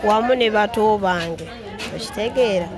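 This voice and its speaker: A woman's voice: mid-range voice, moderate pace, crowd noise. A young woman with a Ugandan accent, a market vendor giving casual interview answers at her stall. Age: young adult. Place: open-air market stall.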